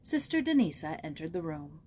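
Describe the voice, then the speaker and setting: A woman reading a children's story aloud in expressive, scripted narration as an audiobook reader. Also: low-pitched, normal speed, slight background noise.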